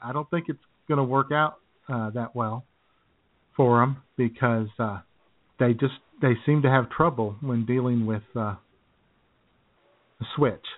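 A man co-hosting a casual comedy podcast, wry and self-described cynical, often clearing his throat. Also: medium pitch, a little slow, pauses often. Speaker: podcast co-host. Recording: tinny phone-like audio.

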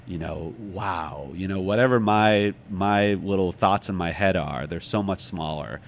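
Very low-voiced American man, thoughtful. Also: unhurried. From United States, Chicago. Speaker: interview guest, author. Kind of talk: reflective casual conversation. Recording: clearly noisy background.

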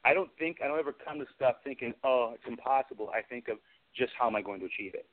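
A man's voice: mid-range voice, fast. A man talking casually as a special effects artist being interviewed. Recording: tinny phone line.

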